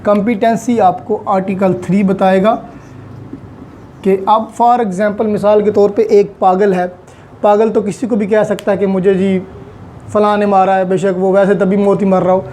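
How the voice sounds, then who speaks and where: Mellow-voiced law lecturer. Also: male voice, sits high, little pitch movement, normal speed, a little hiss or hum. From Pakistan. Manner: patient, explains step by step with examples, mixes in English legal terms.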